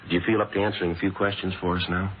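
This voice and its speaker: An actor playing a businesslike police detective with a clipped, deadpan, polite manner, in an old radio crime drama. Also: male voice, sits low, very fast, close to an everyday voice. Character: businesslike, matter-of-fact male police detective sergeant. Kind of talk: 1940s-50s radio drama acting and narration. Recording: noisy, phone-like old radio recording.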